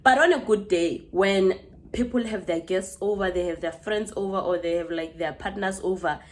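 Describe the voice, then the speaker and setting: A woman's voice: medium pitch, moderate pace. Chatty young South African woman. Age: 20s. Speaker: vlogger. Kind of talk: casual storytelling to camera.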